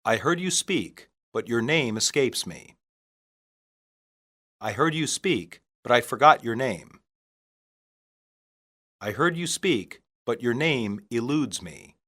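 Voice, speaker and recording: Slow, deliberate man reading lesson phrases. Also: medium pitch, lively intonation, fairly bright tone, slightly rough. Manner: deliberate, separated phrases for learners. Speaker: English teacher reading practice phrases. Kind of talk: scripted language-lesson reading.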